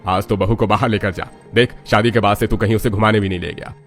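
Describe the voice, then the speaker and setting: A man acts a middle-aged father-in-law in a cartoon family story. The voice is fairly low and brisk, kindly with a gently chiding edge. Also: steady tone, faint music behind, natural voice.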